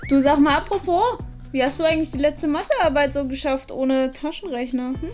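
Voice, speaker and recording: Scornful goth pupil played by a woman, drawling and weary, fairly high voice, comedy sketch over music. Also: fairly quick, darkish tone, natural voice. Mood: sarcastic. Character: surly, bored, sarcastic gothic schoolgirl. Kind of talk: scripted comedy sketch acting.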